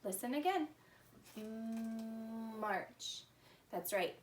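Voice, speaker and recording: High-voiced woman teaching preschoolers, slow and roomy. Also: lively intonation. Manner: sing-song, instructing young children with simple questions. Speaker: preschool teacher, story-time host. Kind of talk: children's lesson and read-aloud. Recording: roomy, distant mic with faint noise.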